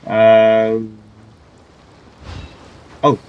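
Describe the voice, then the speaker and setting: A man's voice: on the low side, completely flat delivery, very slow, narrow, tinny audio. A young man giving casual, off-the-cuff commentary while playing a video game. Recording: loud game music and combat sounds behind. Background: game music and battle effects.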